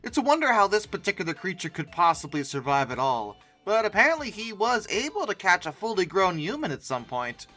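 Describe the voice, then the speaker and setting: Animated, bright-voiced comedic reaction host. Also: mid-range voice, brisk, few pauses, soft background music. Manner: theatrical mock outrage, sarcastic. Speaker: YouTube host reacting to images. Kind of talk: comedic reaction commentary with exasperated rants.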